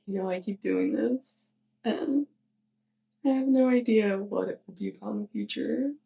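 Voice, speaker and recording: A sheepish young witch next door , acted by a woman, speaks softly and slowly in an intimate ASMR roleplay. Her voice is fairly high with a creaky, crackling edge. She talks haltingly and fondly, as if confiding in her neighbor. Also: exaggerated voice. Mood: tender, hesitant. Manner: hesitant, halting, giggly. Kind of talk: soft ASMR roleplay monologue to the listener.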